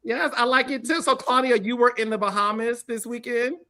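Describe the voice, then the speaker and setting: A man's voice: very high voice, steady tone, fairly quick, bright, forceful tone. A man hosting a casual chat, prying and teasing with his questions. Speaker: host.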